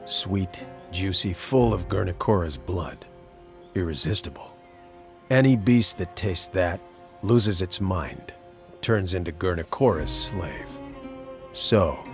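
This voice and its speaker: A weary mercenary monster hunter from a fantasy game. His voice sits fairly low, with blunt, colloquial, matter-of-fact phrasing. Music runs underneath. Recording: background music under the dialogue.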